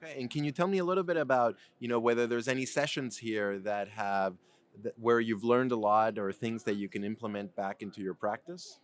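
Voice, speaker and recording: A man's voice: mid-range voice, brisk. A man hosting interviews on a convention floor, friendly and chatty, with fillers like "you know". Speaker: interviewing host.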